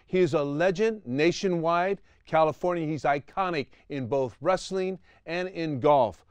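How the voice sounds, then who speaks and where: A man's voice: a little high, measured pace, smooth, no echo. A man hosting an interview show, greeting his guest with enthusiastic praise and asking questions with frequent murmurs of agreement. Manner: enthusiastic, effusive, backchannels often.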